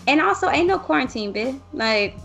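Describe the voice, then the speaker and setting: A woman's voice: high voice, animated, normal speed, gravelly, a bit bright, soft background music. A young woman co-hosting a podcast, talking bluntly with slang and swearing freely. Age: young adult. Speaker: co-host. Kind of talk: casual podcast chat.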